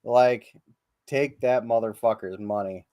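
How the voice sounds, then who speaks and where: Slow, rambling man hosting a podcast. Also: mid-range voice, mellow, no room sound, studio quality. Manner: rambling, profane, hesitant with many ums. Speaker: podcast host. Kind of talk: casual livestream chat. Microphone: livestream podcast mic.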